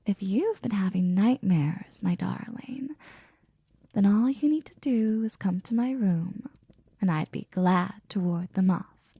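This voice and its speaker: A woman playing a devoted, flirty and jealous maid in an intimate ASMR roleplay, speaking softly and close to a whisper with teasing, courtly wording. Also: mid-range voice, dry recording, full character voice. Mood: coaxing, tender. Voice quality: soft, breathy, often near whispering. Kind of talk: ASMR roleplay, intimate one-sided scene.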